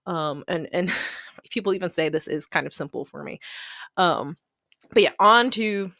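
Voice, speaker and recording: A woman's voice: on the low side, moderate pace, a bit bright, no room sound, clean studio sound. A woman chatting casually and digressively over a hobby craft video, self-deprecating and giggly, often voicing her own thoughts as she goes. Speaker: hobby planner video creator.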